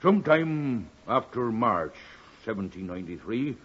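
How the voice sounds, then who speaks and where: A husky village smith in an old radio play, humble and eager to please. He rambles obligingly with a very lively pitch, through a dull vintage recording. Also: unhurried, exaggerated voice. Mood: eager, rambling. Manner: deferential, hesitant, obliging. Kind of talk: 1950s radio drama acting.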